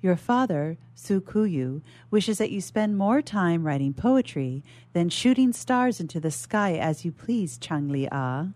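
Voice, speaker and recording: Woman as fussy, exasperated servant, lowish female voice. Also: expressive, unhurried, few pauses, darkish tone. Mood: exasperated, lecturing. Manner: formal, insistent, lecturing.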